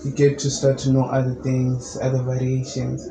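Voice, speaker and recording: A young man with a low voice, a musician answering interview questions in a calm, measured way. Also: gravelly. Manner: calm, measured, explains at length. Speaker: musician guest. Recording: echoey room with background noise.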